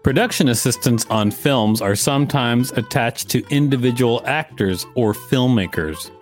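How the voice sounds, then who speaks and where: A man's voice: medium pitch, moderate pace, faint music behind. A man co-hosting a podcast, reading an article aloud with casual commentary. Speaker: podcast co-host.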